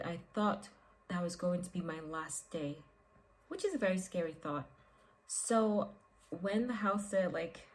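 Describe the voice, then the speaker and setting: A woman's voice: fairly low pitch, low-quality recording. A young woman from Nigeria talking casually and expressively to camera for her vlog, with lots of "like" and "um" fillers. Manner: chatty and expressive, with frequent "like" and "um" fillers. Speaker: vlogger.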